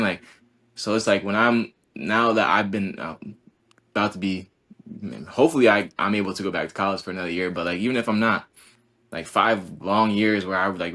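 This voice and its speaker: Low-voiced young man rambling casually. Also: fairly bright tone. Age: 20s. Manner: rambling, heavy use of "like", earnest. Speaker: college basketball player, guest. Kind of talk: casual podcast conversation.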